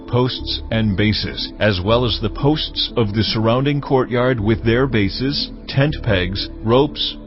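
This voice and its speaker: The solemn voice of God as played by a man in a dramatized audio Bible. He gives commands with measured authority at a middle pitch. The sound is slightly dull, with music clearly playing behind. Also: natural voice. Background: clear background music.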